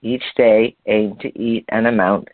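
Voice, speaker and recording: A man's voice: fairly low pitch, fairly even intonation, unhurried, no room sound. An older man from Canada hosting a health talk, reading aloud from an article and stumbling over hard words. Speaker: program host. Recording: tinny phone line.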